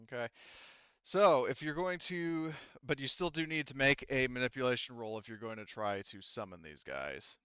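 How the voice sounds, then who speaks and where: A man speaking casually and haltingly as a game master, often rewording himself as he explains and narrates a tabletop game. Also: mid-range voice, expressive, a bit bright, clear, resonant tone, no echo, dull audio. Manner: halting, self-correcting, informal with swearing.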